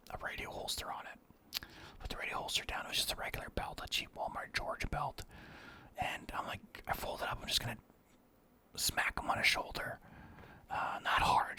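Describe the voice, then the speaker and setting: A man telling rambling personal anecdotes in a soft ASMR whisper. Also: mid-range voice. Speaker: storyteller.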